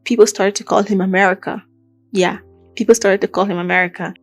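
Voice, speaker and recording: A woman with a Nigerian accent hosting a true crime podcast, telling the story casually and chattily, with animated asides. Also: mid-range voice, brisk, no room sound, soft background music. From Akwa Ibom, southern Nigeria.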